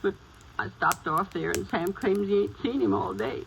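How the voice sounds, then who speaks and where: An actress playing a nagging, distraught farm daughter with an American accent and folksy rural phrasing in a vintage radio drama. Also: female voice, mid-range voice, normal speed, vocal fry, natural voice. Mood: worried, defensive. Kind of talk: 1950s radio drama acting. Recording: noisy vintage radio recording.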